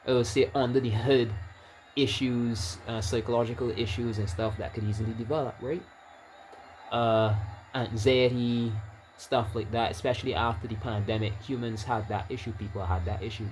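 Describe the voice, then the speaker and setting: A man's voice: mid-range voice, rough recording. A man from Barbados with a Barbadian accent, chatting loosely while he plays a video game, often trailing off and restarting his words. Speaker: gaming YouTuber. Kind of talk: casual gameplay commentary.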